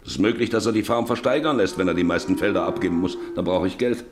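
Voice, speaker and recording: A man's voice: deep voice, fast, over music. An actor playing a stern, domineering middle-aged farmer and father in a German radio drama, speaking in a commanding, insistent way. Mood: stern, calculating.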